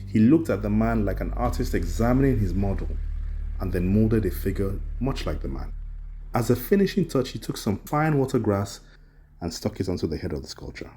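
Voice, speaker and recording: Low-voiced man narrating a folktale over music. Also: animated, brisk, warm tone. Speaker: storyteller host. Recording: music under the voice. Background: background music.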